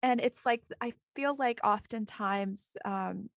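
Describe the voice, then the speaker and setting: A woman hosting a podcast who asks gentle, affirming questions. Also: medium pitch, takes it slowly, gravelly, no echo, studio quality. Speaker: podcast host. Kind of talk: interview questions.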